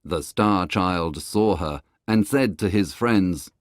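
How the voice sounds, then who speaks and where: A man's voice: low-pitched, slow, dry recording, studio quality. A man reading a scripted children's tale as its storybook narrator.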